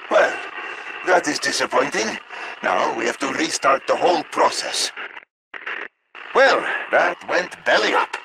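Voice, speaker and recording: An eccentric middle-aged game scientist with a very creaky, gravelly voice that swings widely in pitch. He is theatrical, wry and urgent, speaking at a measured pace over loud radio-like noise. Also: lively intonation, exaggerated voice. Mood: weary, wry. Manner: theatrical, chuckling, wry, addresses players as friends. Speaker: video game character giving mission orders. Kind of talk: video game voice acting.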